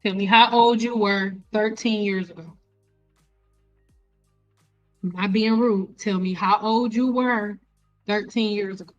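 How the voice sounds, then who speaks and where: A woman's voice: mid-range voice, fairly even intonation, a little slow, pauses often, dull audio, faint music behind. A woman in her thirties lecturing viewers on a live stream as an educator, emphatic and repeating phrases to drive them home. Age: 30s. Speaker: host, hair care educator.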